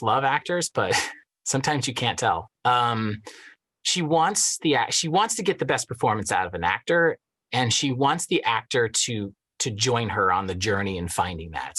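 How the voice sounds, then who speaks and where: A man with a fairly low voice talks as an actor in an interview. His delivery is conversational and thoughtful, leaning on key words for emphasis. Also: fairly bright tone. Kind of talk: casual interview testimonial.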